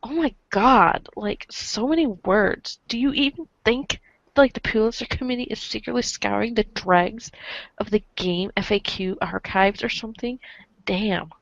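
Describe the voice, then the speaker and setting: A woman's voice: medium pitch, a little slow, no room sound, highs cut off, natural voice. A woman voicing a cool, cocky, foul-mouthed teenage boy, wry and rambling, in an amateur webcomic reading. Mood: sarcastic, grumbling. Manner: wry, rambling, casual swearing.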